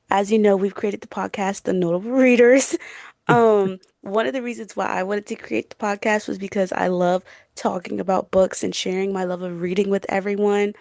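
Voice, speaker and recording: A young woman with a noticeably creaky, mid-pitched voice chats as a podcast co-host. Her delivery is casual and slangy, padded with fillers and prone to giggles. The sound is close and dry. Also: darkish tone, no room sound. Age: around 20. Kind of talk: casual chat.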